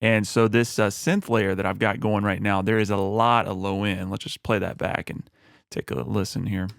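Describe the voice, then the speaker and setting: A man with a low voice, talking through a music mixing tutorial in a relaxed, explanatory way with frequent fillers. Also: normal speed, creaky, very noisy. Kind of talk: screen-recorded mixing tutorial.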